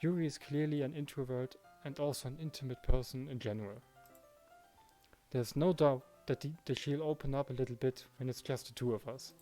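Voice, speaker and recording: A man's mid-pitched voice with music playing behind it. He narrates a visual novel in the first person, acting out the protagonist's thoughts and lines as he reads. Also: steady tone, brisk, dark tone. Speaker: Let's Play narrator reading the protagonist's lines.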